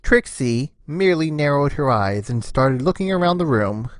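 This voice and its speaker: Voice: medium pitch, lively intonation, moderate pace, no echo, studio quality. A narrator reading a scripted story between the characters' lines.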